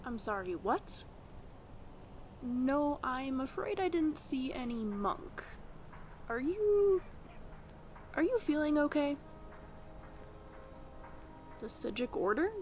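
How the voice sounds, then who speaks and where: A woman's voice: high-pitched, big pitch swings, slow pace, long pauses, a little mellow, a little hiss or hum, full character voice. A woman playing a scatterbrained, bookish young female scholar in a video game, haughty and easily distracted, with hesitant asides. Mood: puzzled. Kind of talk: video game companion dialogue. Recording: game music behind the voice.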